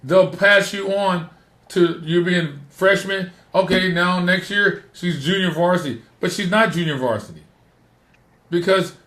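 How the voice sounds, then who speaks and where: Rough-voiced man, emphatic podcast host. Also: sits high, a little slow, fairly bright tone, reverberant. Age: middle-aged. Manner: emphatic, repeats points for stress. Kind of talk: casual advice chat.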